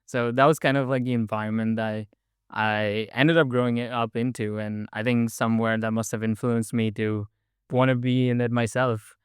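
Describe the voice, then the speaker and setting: A man's voice: medium pitch, little pitch movement, normal speed, smooth, dry recording, studio quality. A young man from India, chatting eagerly as a podcast guest and using lots of "like" and "um". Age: young adult. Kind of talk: casual interview storytelling.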